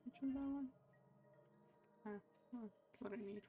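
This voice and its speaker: A woman's voice: fairly high pitch, normal speed, dull audio. A woman giving casual, muttered gameplay commentary as she streams a video game. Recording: loud game music behind voice. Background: loud video game music.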